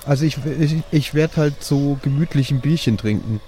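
A man's voice: fairly high pitch, moderate pace, warm tone, a little hiss or hum. A man casually improvising as a player in a tabletop role-playing audio game.

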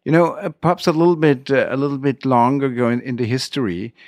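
A man's voice: medium pitch, steady tone, darkish tone, dry recording. German-accented man in a dry recording. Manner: halting, non-native phrasing with repeated words. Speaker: interview guest, winemaker. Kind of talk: conversational storytelling in an interview.

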